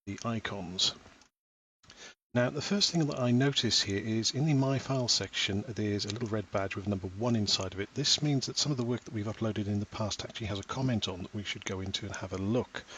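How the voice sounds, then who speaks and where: A man in his thirties, a parent from Wales, walking through a school software platform step by step in a patient, explaining voice. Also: lowish voice, a little hiss or hum, poor audio. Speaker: parent giving a tutorial. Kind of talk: screen-recorded software tutorial.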